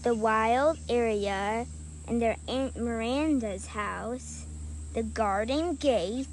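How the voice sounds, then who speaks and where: A female with a high-pitched voice shares a children's book in a slow, deliberate way, mixed with casual chat. She is recorded outdoors, with insects and nature sounds audible behind her. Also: rough recording. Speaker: co-host reading a children's book aloud. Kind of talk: casual chat and storybook read-aloud. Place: outdoors by a marshy trail. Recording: outdoor recording with clear insect and ambient noise.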